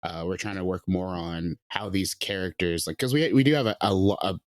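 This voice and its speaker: A writer, a man, talks casually and laughs easily, often restarting his sentences. His voice is very low. The sound is dry and close. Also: fairly quick, dry recording. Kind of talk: casual interview.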